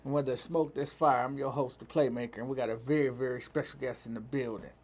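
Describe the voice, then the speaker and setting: A man's voice: medium pitch, fairly even intonation, fast talker. A man hosting a casual interview show, introducing his guest and putting questions to him.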